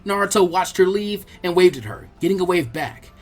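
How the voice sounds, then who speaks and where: Animated, husky man narrating a story. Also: high voice, a little fast, soft background music. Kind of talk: scripted fan fiction narration, expressive storytelling.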